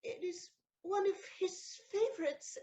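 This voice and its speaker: A woman playing a romantic, scheming countess in a stage comedy audio play, dramatic and exclamatory with hesitant pauses. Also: very high voice, mellow. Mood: indignant. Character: theatrical noblewoman countess, scheming, romantic, betrayed wife.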